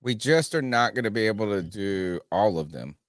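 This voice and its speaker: A man's voice: fairly low pitch, animated, moderate pace, no echo, clean studio sound. A man hosting a casual sports podcast, rambling through his points and swearing freely. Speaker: podcast host.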